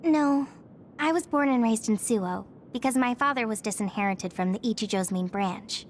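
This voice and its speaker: A woman's voice: a little high, moderate pace, a little hiss or hum, lightly acted. A woman playing an earnest, helpful young female soldier in a video game cutscene.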